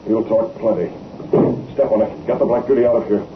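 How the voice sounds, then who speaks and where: Man acting a cold, low-voiced radio menace. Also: a little fast, lightly acted. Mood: menacing. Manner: terse, authoritative, threatening. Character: cold, commanding, menacing man of secret dealings. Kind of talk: 1930s-40s radio acting. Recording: tinny, noisy vintage radio recording.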